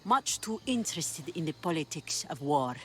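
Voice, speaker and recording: A woman's voice: deep voice, big pitch swings, normal speed, a little hiss or hum. A woman playing a self-assured, wry pirate captain of ancient Greece in a video game. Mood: wry, businesslike. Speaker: game character. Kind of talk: video game cutscene acting.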